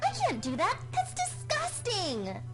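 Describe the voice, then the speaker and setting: Woman as a very high-pitched indignant pony mare. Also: huge pitch swings, a little slow, faint music behind, heavily acted. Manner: huffy, emphatic complaining. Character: indignant female pony caller, fed up and huffy. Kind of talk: radio play acting.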